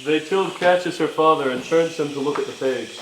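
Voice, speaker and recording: A man's voice: on the high side, moderate pace. A man reading stage directions as scripted narration in a staged reading. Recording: echoey room, faint noise.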